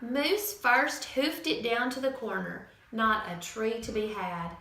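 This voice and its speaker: A woman teacher reading a picture book aloud to children in an expressive, sing-song storytelling manner. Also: a little high, slow, a little hiss or hum. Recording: echoey room from far off.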